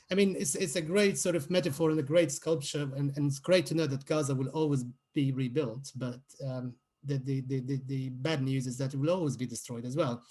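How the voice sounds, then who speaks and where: A man's voice: medium pitch, mellow. A man from Palestine giving an informal talk as a guest writer, explaining things conversationally with hesitant, searching phrasing. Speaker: radio playwright, guest speaker.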